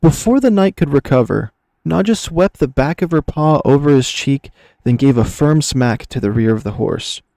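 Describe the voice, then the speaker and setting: Male narrator for scripted fiction: mellow, relaxed tone, medium pitch, expressive delivery, very dry sound. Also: moderate pace, creaky, no room sound. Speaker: narrator, reader.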